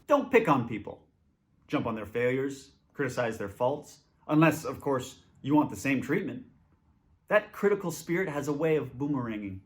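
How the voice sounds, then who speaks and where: A man's voice: mid-range voice, lively intonation, moderate pace. A man with a mid-range voice reads a scripture passage aloud from a text. He delivers it in short, emphatic phrases. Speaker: scripture reader.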